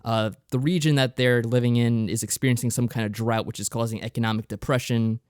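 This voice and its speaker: Man, mid-range pitch, dry close recording, casual podcast co-host, explanatory, loosely phrased. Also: steady tone, a little fast, darkish tone, clean tone, no echo. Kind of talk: casual review chat.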